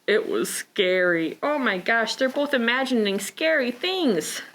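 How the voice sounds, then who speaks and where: A woman's voice: fairly high pitch, expressive, a little slow, a bit bright. A woman hosting a preschool storytime, reading aloud and talking simply and encouragingly to small children. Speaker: library preschool storytime host.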